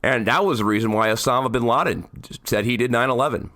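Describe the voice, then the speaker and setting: A man co-hosting a podcast in a casual political chat, hoarse and raspy from the flu, rambling and restarting his sentences. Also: mid-range voice, quick pace, fairly bright tone, no room sound, clean studio sound. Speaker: podcast co-host.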